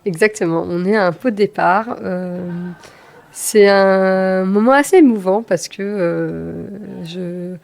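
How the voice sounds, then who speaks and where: A woman in her thirties tells personal stories conversationally as an interview guest, with halting phrasing and repeated words. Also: mid-range voice, vocal fry, a little mellow. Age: 30s. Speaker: podcast guest, engineer and manager.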